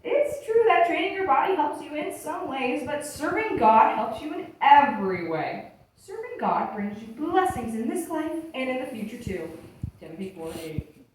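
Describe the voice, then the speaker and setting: A woman's voice: sits high, sing-song, moderate pace. Lady doing a talking bible puppet, scolding and preachy, really swoopy voice, far off in an echoey room. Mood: instructive. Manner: admonishing, instructive, emphatic. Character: talking dusty Bible, bossy, reproachful teacher. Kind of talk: church puppet show dialogue. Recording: echoey room, distant mic, faint noise.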